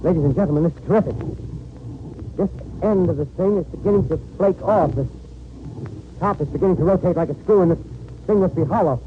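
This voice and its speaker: An actor playing a horrified on-the-spot news reporter in 1930s radio drama, breathless, halting and awestruck. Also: male voice, high-pitched, lightly acted. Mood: alarmed amazement. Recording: tinny, noisy old radio broadcast.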